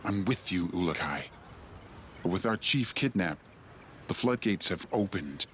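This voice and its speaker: Low-voiced, grave alien rider. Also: male voice, lively intonation, a little slow, fairly bright tone, a little hiss or hum. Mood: grave, worried. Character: worried, loyal alien rider leader. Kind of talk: video game cutscene acting.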